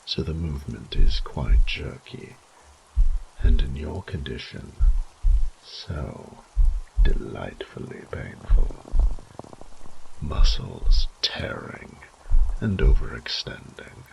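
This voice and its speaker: A very low, slow voice of an ancient vampire lord played by a man, murmuring with condescending menace. He is possessive and cruel in a mock-tender way, as in a horror audio drama. The tone is breathy and gravelly. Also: expressive, a little hiss or hum, exaggerated voice, rough recording. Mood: cruel relish.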